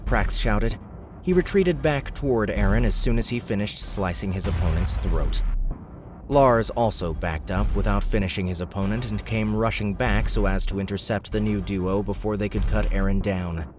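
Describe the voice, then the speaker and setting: Deep-voiced male audiobook narrator over music. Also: moderate pace. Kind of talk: scripted fantasy fiction narration.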